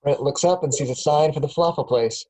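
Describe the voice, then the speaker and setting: A man's voice: a little high, fast talker, dark tone, poor audio. A man reading scripted stage directions aloud as the narrator at a table read.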